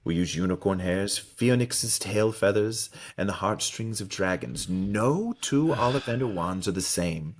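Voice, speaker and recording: A man voicing an eccentric old wandmaker from a storybook, ominous and mysterious, with slow, deliberate, savoring delivery. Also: fairly low pitch, animated, voice pushed down. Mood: grave, instructive.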